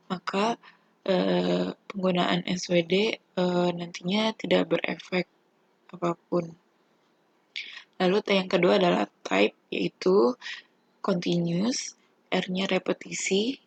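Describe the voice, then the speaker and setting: A young woman explains a procedure like a student presenter. Her voice is husky and noticeably creaky, captured in a dry, close sound. She goes step by step, deliberately, with a halting delivery. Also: lowish voice, dry recording. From Indonesia. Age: young adult. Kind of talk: instructional presentation.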